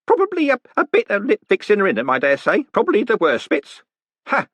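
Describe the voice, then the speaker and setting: Excitable, high-pitched old postman. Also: brisk, husky, no room sound, muffled recording, heavily acted. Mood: cheerful, chatty. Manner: folksy, colloquial, eager. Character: excitable, chatty, deferential old male postman. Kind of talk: audiobook character voice.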